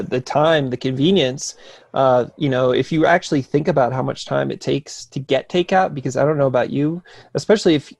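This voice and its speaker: A man's voice: mid-range voice, vocal fry, a little mellow, no room sound. A man from New Jersey, a nutrition coach talking casually as a podcast guest, easygoing and full of fillers. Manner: easygoing, loose with fillers and restarts. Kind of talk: casual conversation.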